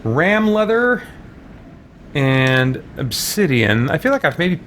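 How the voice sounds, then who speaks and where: A man's voice: a little high, sing-song, slow, fairly bright tone, a little hiss or hum. A man giving casual video game commentary, musing aloud to himself as he plays. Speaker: video game streamer, host. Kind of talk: casual let's play commentary. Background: game music.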